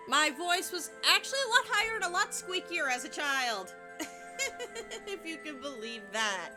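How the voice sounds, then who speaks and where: A woman's voice: high voice, expressive, normal speed. A woman with a light, youthful voice casually narrating a video game playthrough in a chatty, self-deprecating way. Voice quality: light, youthful voice she compares to a teen boy's. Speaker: let's play narrator. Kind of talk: casual gameplay commentary. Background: game music.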